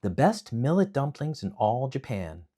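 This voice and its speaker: A man's voice: medium pitch, very animated, measured pace, warm tone, breathy edge, voice pushed up. A man voicing a brave young hero boy in a storybook, answering plainly and firmly. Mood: steady. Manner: plain, firm replies.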